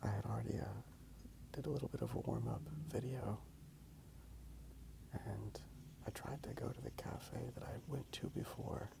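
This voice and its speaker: A man rambling softly in a breathy ASMR whisper, unhurried and halting as he comments on his drawing. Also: mid-range voice, fairly even intonation. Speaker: host of a drawing ASMR channel.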